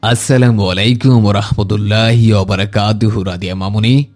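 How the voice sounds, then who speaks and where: A man playing a kindly, pious elderly grandfather who gently admonishes in a measured, instructive way in an Islamic cartoon. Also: on the low side, fairly even intonation, soft background music, lightly acted. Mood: affectionate, proud. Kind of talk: Islamic moral cartoon dialogue.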